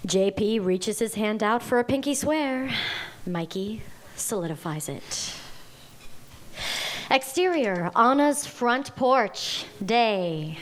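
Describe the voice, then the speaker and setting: A woman's voice: on the high side, animated, slow. A woman reading stage directions as scripted narration at a live table read.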